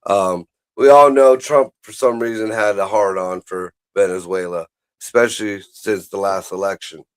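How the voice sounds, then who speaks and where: A man's voice: fairly low pitch, fairly even intonation, moderate pace, smooth, no room sound. A man chatting casually about politics as a show host, blunt, profane and rambling.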